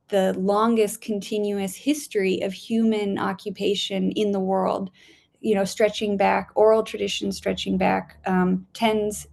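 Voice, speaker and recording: A woman's voice: medium pitch, vocal fry, a little mellow. A woman from Spain who works as a scientist, chatting as a guest over a video call, with many ums, restarts and frequent laughter.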